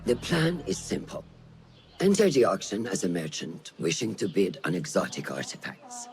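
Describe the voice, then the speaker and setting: An actor playing a stern, watchful mentor of assassins in a video game, commanding and sententious, giving measured orders. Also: male voice, deep voice, huge pitch swings, vocal fry, crowd noise, full character voice. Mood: brisk, planning. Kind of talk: dramatic game cutscene acting.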